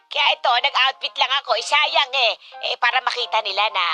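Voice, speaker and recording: A woman's voice: fairly high pitch, brisk, bright, forceful tone, soft background music. A woman playing a cheerful, vain older widowed mother in a Tagalog radio drama, exclaiming playfully and fussily with a Filipino accent. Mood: delighted, playful.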